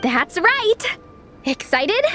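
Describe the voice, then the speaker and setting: A woman's voice: extremely high pitch, very animated, extremely slow, bright, forceful tone, soft background music, full character voice. A woman voicing a perky, encouraging young woman who leads a group, in an anime-style video game dub. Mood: cheerful, encouraging. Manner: peppy, organizing.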